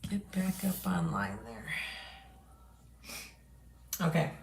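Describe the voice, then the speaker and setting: A woman hosting a casual craft product showcase, chatty and unhurried, who is losing her voice and clears her throat often. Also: low voice, sing-song, a little mellow. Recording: echoey room with background noise.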